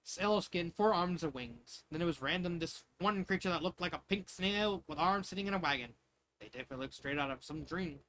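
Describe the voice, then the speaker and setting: A man's voice: on the high side, fairly quick, fairly bright tone, low-quality recording. A man reading a story aloud in a loose, jokey way, often breaking off into casual asides. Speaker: fanfiction reader.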